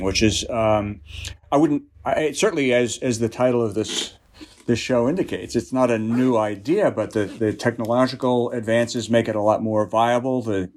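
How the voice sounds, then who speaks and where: A man's voice: medium pitch, a little mellow. A middle-aged man giving thoughtful, slightly wry answers as an interview guest. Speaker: interview guest, union executive director. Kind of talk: conversational interview.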